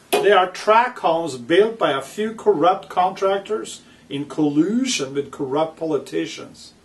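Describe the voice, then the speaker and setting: Higher-voiced man reading aloud, distant mic. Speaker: author reading own book aloud with commentary. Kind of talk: reading aloud with spoken commentary. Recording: distant microphone.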